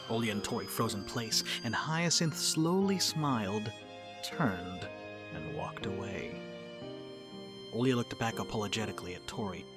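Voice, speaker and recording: A man narrates a drama script in a mid-pitched voice. His delivery rises and falls in a lively way, with soft music running underneath.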